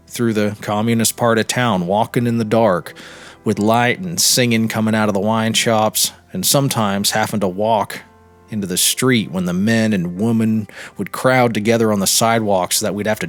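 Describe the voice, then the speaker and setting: A man narrating a literary short story in a measured, dramatic reading with deliberate pauses. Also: on the low side. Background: music.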